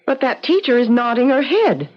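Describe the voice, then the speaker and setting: An actress playing a skeptical, strong-willed American woman in a radio drama, brisk and tart in manner. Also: sits high, sing-song. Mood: indignant, determined. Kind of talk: mid-century American radio drama acting. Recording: muffled, noisy old radio recording.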